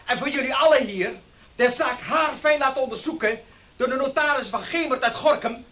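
A man playing an angry, scornful young accuser in a Dutch radio play, mocking and forceful in his delivery. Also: extremely high pitch, moderate pace, distant mic.

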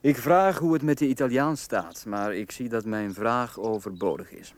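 A man's voice: medium pitch, normal speed, close to an everyday voice. An actor with a Flemish accent playing a stern, reserved aristocratic father and husband in a radio drama. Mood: dry, amused.

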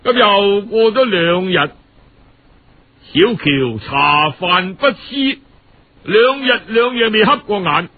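A man's voice: sits high, lively intonation, phone-quality sound. A man with a Cantonese accent telling a story in a dramatic, colloquial radio audiobook style. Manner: dramatic, colloquial, with drawn-out phrase-end particles.

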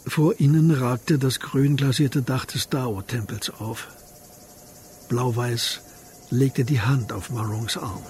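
Very slow, measured storyteller played by a man. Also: medium pitch, fairly even intonation, darkish tone, a little hiss or hum, natural voice. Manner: measured, literary. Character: unhurried male storyteller of a historical crime tale. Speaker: narrator. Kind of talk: scripted radio drama narration.

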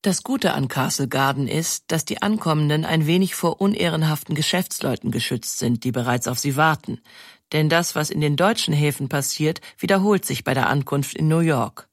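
A narrator reading an informative documentary script in a clear manner. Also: mid-range voice, normal speed, dry recording, studio quality.

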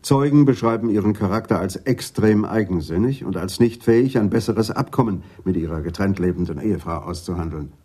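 Mellow, husky, patient senior detective. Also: male voice, lowish voice. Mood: matter-of-fact. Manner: measured, wry. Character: calm, patient, dry senior male police detective. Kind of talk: radio drama acting.

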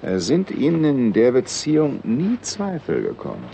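A man's voice: medium pitch, huge pitch swings, a little slow, gravelly, dark tone, full character voice. A man playing an evasive senior state secretary in a 1960s radio drama, officious and insistent, sometimes hesitant as he starts. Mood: probing, doubtful. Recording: noisy old radio recording.

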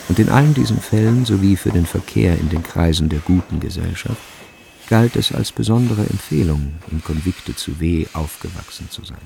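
A man narrating literary prose in a radio drama, measured and reflective. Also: deep voice, vocal fry, darkish tone, slight background noise. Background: music.

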